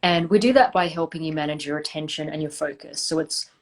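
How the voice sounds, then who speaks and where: Woman, low voice, founder guest, video call, measured, thoughtful. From Australia. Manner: thoughtful, measured, with hesitant fillers. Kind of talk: interview answers.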